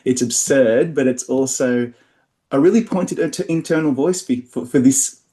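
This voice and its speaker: A man with a mid-pitched voice interviews a guest on a podcast. He asks his questions in a chatty, enthusiastic way and laughs easily. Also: normal speed, dark tone. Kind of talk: casual interview.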